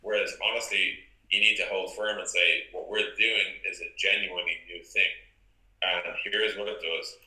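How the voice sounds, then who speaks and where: A man with a deep, very forceful and bright voice answers interview questions. He talks informally, working ideas out as he goes and repeating words for emphasis. The sound is that of an echoey internet call. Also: flat delivery, moderate pace. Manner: informal, thinks aloud, emphatic repetition. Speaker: interview guest, software company co-founder. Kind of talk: interview answers, casual business talk. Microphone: video call.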